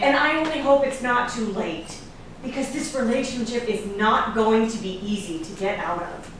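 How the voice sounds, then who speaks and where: A woman's voice: sits high, fairly bright tone. A woman playing a detective's meddling wife in a staged drama reading, earnest and insistent, often hesitant. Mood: vehement, warning. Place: echoey room at a staged reading. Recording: echoey room, distant mic, noisy background.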